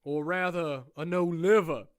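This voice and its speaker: An actor playing a smug, condescending prison warden who speaks slowly and deliberately in an audio drama. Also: male voice, on the high side, fairly even intonation, natural voice. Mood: menacing. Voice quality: smooth, condescending, slow and deliberate. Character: smug menacing male prison warden, middle-aged.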